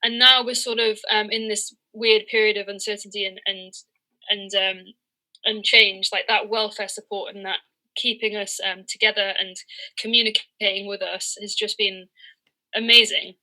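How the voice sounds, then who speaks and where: A woman's voice: mid-range voice, little pitch movement, moderate pace, bright, forceful tone, poor audio. A woman in her early thirties giving a reflective, conversational interview, speaking candidly and hesitantly as she searches for words. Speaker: interviewed professional cricketer and coach.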